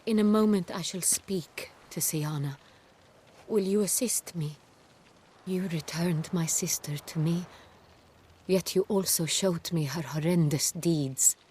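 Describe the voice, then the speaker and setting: A woman's voice: on the low side, slow pace, a little mellow, slight background noise. A woman playing a regal young duchess in a video game, speaking in a formal, dignified and carefully worded manner. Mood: solemn, sorrowful. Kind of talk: video game cutscene acting.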